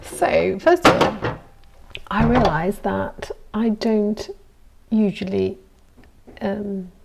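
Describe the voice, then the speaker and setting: Woman, young adult, from Italy, native Italian speaker, casual workshop chat, frequent ums and small laughs, slightly gravelly voice. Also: mid-range voice, steady tone, very slow, very noisy. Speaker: goldsmith streaming from her workshop. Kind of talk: casual live-stream chat while working.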